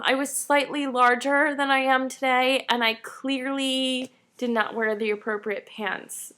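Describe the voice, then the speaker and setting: A woman's voice: on the high side, fairly bright tone. A woman in her late twenties, a tired vlogger chatting casually and self-deprecatingly to camera. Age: 20s.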